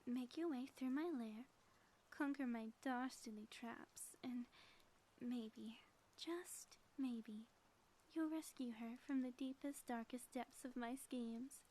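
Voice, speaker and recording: A woman voicing a theatrical, gloating female supervillain in an audio roleplay, teasing and grandiose with dramatic pauses. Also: a little high, dark tone, a little hiss or hum, rough recording. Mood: gloating, taunting. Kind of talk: scripted audio roleplay, ASMR.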